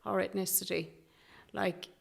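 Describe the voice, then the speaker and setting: A middle-aged Irish woman from Galway, speaking softly and hesitantly as a guest in an interview, with many restarts. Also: medium pitch, flat delivery, unhurried, creaky, husky. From Galway, Ireland. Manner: soft-spoken and hesitant, with many fillers and restarts. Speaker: guest, Traveller activist. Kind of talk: candid interview conversation.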